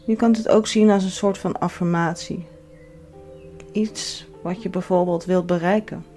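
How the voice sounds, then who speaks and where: A woman leading a guided relaxation as a yoga instructor, giving soothing, measured instructions with pauses. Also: on the low side, fairly even intonation, warm tone. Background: background music.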